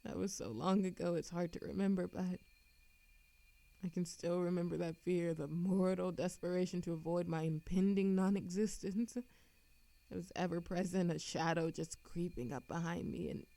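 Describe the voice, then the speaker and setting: A woman's voice: fairly low pitch, fairly even intonation, normal speed, vocal fry, dark tone, no room sound. A woman acting a lovesick, world-weary immortal in a scripted romantic audio roleplay, with a dramatic, yearning delivery. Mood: wistful regret.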